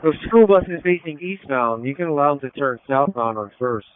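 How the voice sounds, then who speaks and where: Phone-like radio, higher-pitched clear male voice. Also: lively intonation, a little fast. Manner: brief, practical. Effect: police radio transmission. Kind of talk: informal radio coordination. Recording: narrow-band police scanner radio.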